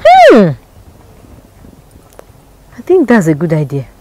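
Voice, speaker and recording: A woman's voice: medium pitch, slow, a little hiss or hum, rough recording. A woman acting in a skit as a wary single woman who wants marriage. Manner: expressive, wary.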